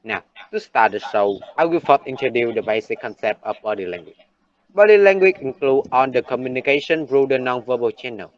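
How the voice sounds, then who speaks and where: A teenage boy with a Vietnamese accent hosts a school talk show at a middle pitch. He reads his prepared lines in a steady presenter manner. The sound is a little dull and lo-fi. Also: brisk. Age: late teens. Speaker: host of a class talk show. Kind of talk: scripted classroom talk-show hosting.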